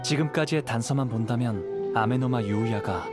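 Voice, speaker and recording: An actor playing a calm, polite young wandering samurai in a video game, speaking in a courteous, thoughtful way. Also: male voice, medium pitch, moderate pace, natural voice. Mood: composed, serious. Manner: courteous, composed, explanatory. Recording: clear background music.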